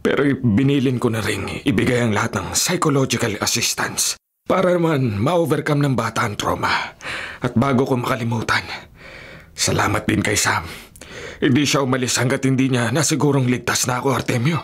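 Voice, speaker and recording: A man playing a dutiful, protective middle-aged mayor and father in a Filipino radio drama, measured, authoritative and earnest. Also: mid-range voice, animated, slightly rough. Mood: firm, grateful.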